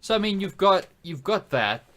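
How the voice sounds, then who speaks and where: A man's voice: sits high, very animated, normal speed, a bit bright. Man chatting casually, thinking aloud. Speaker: podcast co-host. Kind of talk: casual chat about films and games.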